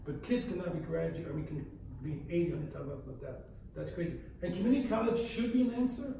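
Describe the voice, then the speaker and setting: An older man speaking bluntly and fast as a panelist in a panel discussion, recorded from far off in a large hall. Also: a little high, lively intonation, gravelly, dark tone, a little hiss or hum. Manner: blunt, outspoken, fast-talking. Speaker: panelist, museum founder. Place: large hall with brick walls. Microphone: far room mic.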